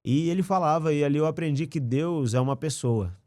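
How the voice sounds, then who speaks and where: A man, a podcast guest telling his life story in a relaxed, slangy, earnest way. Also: fairly high pitch, brisk, no echo, studio quality. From Rio de Janeiro, raised in Brasília. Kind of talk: casual conversational storytelling.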